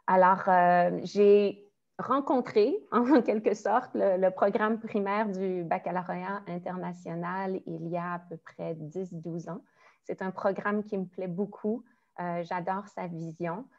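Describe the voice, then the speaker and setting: A woman's voice: fairly low pitch, steady tone, measured pace, a little mellow, highs cut off. A woman in her forties from Quebec, with a Quebec French accent, giving a friendly, explanatory online presentation as a primary school teacher. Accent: Quebec French with some Belgian French. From Quebec, Canada. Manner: friendly, explanatory, with frequent "euh" and small laughs.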